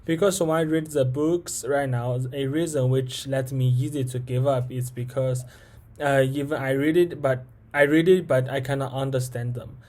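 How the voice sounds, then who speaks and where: A young university student whose first language is Chinese talks through his assignment answers in English. His voice sits at an ordinary pitch for a man. He speaks haltingly and often stops to restart a word or add a filler. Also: fairly even intonation, darkish tone. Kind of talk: spoken assignment answering set questions.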